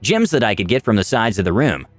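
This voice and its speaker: Animated man narrating a fantasy story. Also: fairly low pitch, fast talker, no echo, faint music behind. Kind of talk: dramatic scripted first-person fantasy narration.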